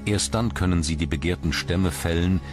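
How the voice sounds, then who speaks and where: Very low-voiced documentary narrator, male. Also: moderate pace. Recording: loud music under the voice. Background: loud background music.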